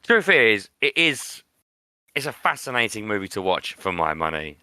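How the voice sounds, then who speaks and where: Male podcast co-host, opinionated and conversational, crisp bright edge, very dry close sound. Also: on the low side, big pitch swings, normal speed, no echo. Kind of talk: casual film discussion.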